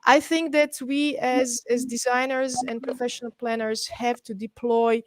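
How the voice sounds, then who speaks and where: A middle-aged woman with a Serbian accent presents to an online webinar. Her voice sits a little high, and the sound is very dry with no room around it. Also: level tone, unhurried, no echo. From Belgrade, Serbia. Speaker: guest presenter, urban planner. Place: indoors, on an online webinar call.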